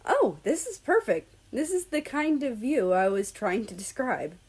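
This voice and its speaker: A woman voicing a playful, excitable young man on a road trip in a story reading, casual and a little bashful. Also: a little high, unhurried, husky, pitched above the performer's own voice, full character voice. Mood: excited.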